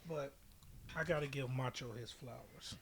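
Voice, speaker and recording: A man's voice: medium pitch, moderate pace, a bit bright, a little hiss or hum. A man hosting a podcast in casual, opinionated chat with a blunt way of talking. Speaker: podcast host.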